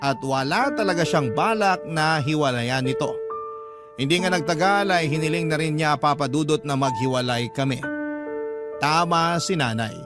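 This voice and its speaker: A man's voice: on the high side, moderate pace. A man narrating a scripted first-person story as a radio storyteller reading a listener's letter. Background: loud music.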